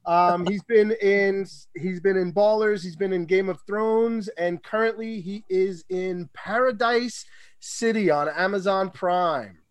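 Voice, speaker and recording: A man's voice: high voice, a little slow, clear, resonant tone, dry recording. A man hosting a podcast, upbeat and casual, with lots of ums as he sets up his questions. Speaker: podcast host.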